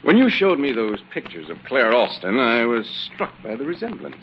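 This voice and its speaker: An actor playing a scheming, commanding kidnapper boss with a suave manner, in 1940s radio style. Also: male voice, medium pitch, very animated, moderate pace. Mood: smug. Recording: muffled, faintly noisy old radio recording.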